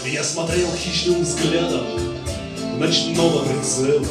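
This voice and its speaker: A young man performs a bard song on a small club stage. His delivery is slow, with strong echo around the voice and loud guitar music behind it. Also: on the high side, steady tone, distant mic. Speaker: singer-songwriter at a bard club. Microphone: handheld stage microphone.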